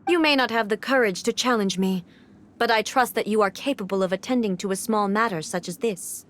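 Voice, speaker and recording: A woman voicing a composed, regal female ruler in a video game, speaking in a measured and formal way. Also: mid-range voice, a bit bright, close to an everyday voice. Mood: commanding.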